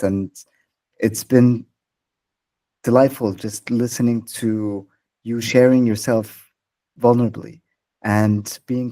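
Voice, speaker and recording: A man hosting a podcast interview, gently affirming his guest and asking measured questions. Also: on the low side. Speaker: podcast host. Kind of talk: interview conversation.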